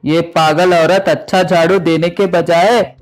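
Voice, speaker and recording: A man voicing a crafty, grumbling street broom seller in an animated story, exclaiming and calling out. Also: on the high side, unhurried, soft background music, natural voice. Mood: scheming.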